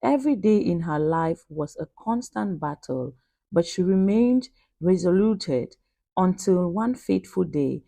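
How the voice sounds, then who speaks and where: A woman narrates a scripted story in the role of storyteller. Her delivery is measured and dramatic, with a noticeably creaky edge to the voice. The sound is dry and close, with very little room in it. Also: fairly low pitch, darkish tone, no room sound.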